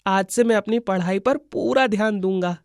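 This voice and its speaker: A man's voice: high voice, moderate pace, smooth, no echo, studio quality. A man voicing an earnest, emotional schoolboy devoted to his friend, in a children's moral-story cartoon. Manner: pleading, earnest.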